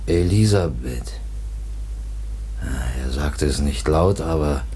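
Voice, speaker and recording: An actor playing a grave family man who speaks haltingly and solemnly in a German radio drama. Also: rumbling low, expressive, dark tone, slight background noise, heavily acted.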